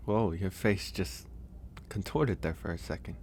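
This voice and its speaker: Man acting a low-voiced male werewolf, tender caretaker, soft ASMR roleplay, hesitant pauses, audible background ambience. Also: a little hiss or hum. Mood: hesitant, explanatory. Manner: gentle, hesitant, reassuring, pausing often. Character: gentle male werewolf, caring stranger nursing a guest.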